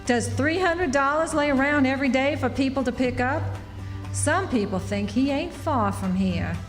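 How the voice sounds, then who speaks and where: A woman's voice: high-pitched, animated, normal speed. Lady doing nosy motherly southern us frontier woman, very swoopy voice, radio drama, hall echo. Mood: gossipy. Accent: American Southern. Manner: folksy, emphatic. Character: shrewd, motherly frontier townswoman, chatty and prying. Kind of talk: live radio drama acting. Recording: echoey hall, music behind.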